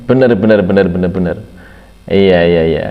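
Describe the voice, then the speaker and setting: A man's voice: sits low, normal speed, big-room sound, lo-fi sound. A man commenting on a video clip in a rambling way, with many fillers and repeated words of agreement. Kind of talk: reaction commentary.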